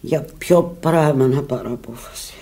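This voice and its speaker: A woman's voice: very deep voice, warm tone. An actress playing a sick, weary, stubborn and desperate woman in a Greek radio drama, pleading and sometimes defiant. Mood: pleading, exhausted.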